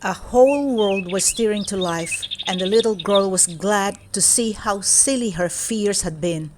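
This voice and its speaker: Female storyteller for children's read-aloud: mid-pitched, measured, expressive scripted narration. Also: background noise.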